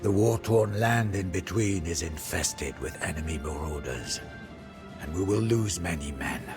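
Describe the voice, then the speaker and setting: Battle-worn medieval soldier, low gravelly voice, breathy, grave storytelling, loyal, game cutscene, music behind. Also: male voice, lively intonation. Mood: somber, weary. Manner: grave, theatrical, measured. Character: weary, devoted French soldier-chronicler of a medieval war. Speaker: narrator.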